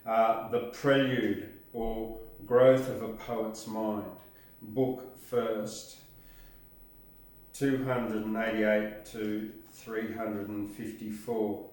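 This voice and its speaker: A man's voice: lowish voice, flat delivery, drawn-out words, a little mellow. An older man hosting casually, introducing a record and reading out a track list, recorded indoors by a camera across the room. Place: indoors, small home-like room with record player. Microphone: camera a few metres away. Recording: very echoey room, distant microphone.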